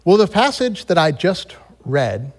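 A man's voice: fairly high pitch, very animated, a little slow. An American man preaching as a pastor, moving between scripture reading and personal storytelling. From United States. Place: large reverberant room, speaking to a congregation. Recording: long hall reverb.

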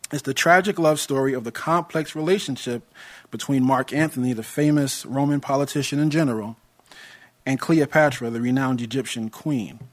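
A young American man preaching a sermon in a measured, earnest way, with touches of light humor. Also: medium pitch. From United States.

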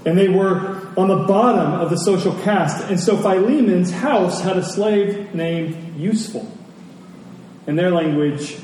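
A man preaching a storytelling sermon to a congregation, deliberate, with dramatic pauses and emphasis. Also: sits high, mellow. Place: large indoor church space. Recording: very echoey hall, distant mic, faint noise.

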